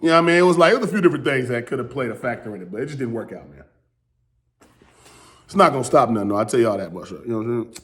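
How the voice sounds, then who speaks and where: A man and battle rapper with a strained, hoarse voice, recapping his round in loose, rambling casual talk. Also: medium pitch, animated, racing, fairly bright tone. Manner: loose, rambling, repeats "you know what I'm saying". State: losing his voice.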